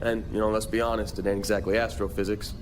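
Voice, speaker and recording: A man's voice: on the low side, level tone, quick pace, noisy background, lightly acted. An actor playing an easygoing but jittery male miner sidekick in a video game, talking in casual, sarcastic asides. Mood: joking, easygoing.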